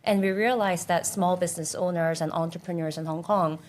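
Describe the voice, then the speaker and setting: A woman executive director explaining her organization's work in an interview, listing ideas in quick articulate runs. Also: fairly low pitch, fairly even intonation. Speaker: executive director of a social impact group. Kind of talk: conversational interview answers.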